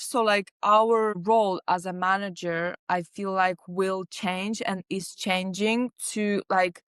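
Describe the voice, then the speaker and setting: A woman's voice: medium pitch, a bit bright, clear, resonant tone, no echo, clean studio sound. A woman, earnestly answering podcast questions as an artist manager, stringing ideas together with many likes. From Lithuania. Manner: earnest, talks in long lists with many likes.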